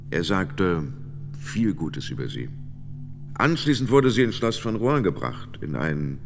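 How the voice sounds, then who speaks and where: An actor playing a grave middle-aged French knight giving measured, formal witness testimony in a radio drama. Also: male voice, deep voice, heavily acted. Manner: measured, deliberate, formal phrasing with pauses. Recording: long reverberant hall, music underneath. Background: music.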